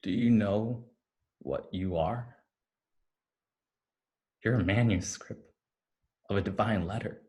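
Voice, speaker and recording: An actor playing an intense man who urges a lost fairy to remember himself, in deliberate, poetic lines of a staged play reading. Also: on the low side, warm tone. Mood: earnest, urging. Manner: deliberate, taunting then earnest, poetic. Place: remote staged reading over video call. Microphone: video call microphone.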